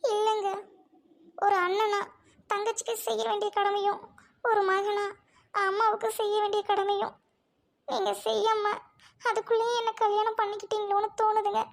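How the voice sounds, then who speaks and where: A woman voicing a humble young bride who speaks softly and politely to her new family. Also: extremely high pitch, level tone, normal speed, creaky, long pauses. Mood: soft, pleading. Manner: soft, polite, keeps addressing mother-in-law.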